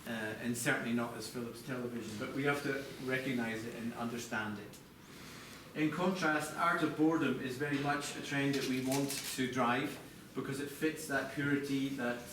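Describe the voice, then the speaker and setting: A man presenting product design live to a group, explaining in a halting way with corporate phrasing. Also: mid-range voice, fairly even intonation. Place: large echoey indoor venue, apparently a hotel. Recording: echoey noisy room, distant mic.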